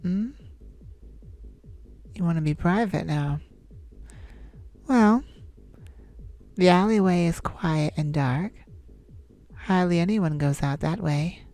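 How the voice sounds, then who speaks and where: A woman voicing a flirtatious, cunning male vampire in a slow, soft-spoken, teasing ASMR roleplay. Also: on the low side, faint music behind. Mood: flirty.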